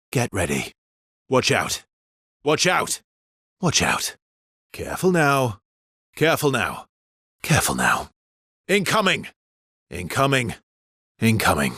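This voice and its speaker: A man voicing a weary, battle-hardened immortal swordsman in a video game, with a husky, breathy voice and terse, intense, dramatic delivery. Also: lowish voice, fairly bright tone, no room sound, clean studio sound, heavily acted. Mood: urgent warning. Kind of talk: video game combat barks.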